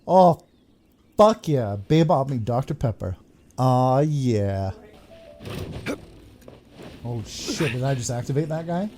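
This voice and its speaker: Man giving lively, sweary gameplay commentary. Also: mid-range voice, slow pace, long pauses, faint music behind. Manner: sweary, muttered asides to himself. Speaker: video game streamer.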